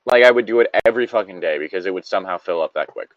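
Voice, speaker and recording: A man's voice: low-pitched, rapid-fire, dry recording, highs cut off. A man chatting casually and reading aloud, swearing freely and rambling off on digressions. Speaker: podcast co-host reading a story aloud with commentary. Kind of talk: casual chat with story reading.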